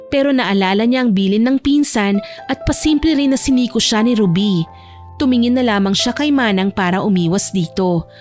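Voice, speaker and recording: A woman narrates a scripted romance story. Her voice sits fairly high, and music plays behind the narration. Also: a little mellow. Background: background music.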